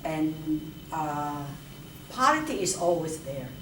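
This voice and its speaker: A woman who is an elected official, answering interview questions in halting phrases with frequent fillers. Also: low-pitched, sing-song. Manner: halting, frequent "you know". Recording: echoey room, mic far off, noisy.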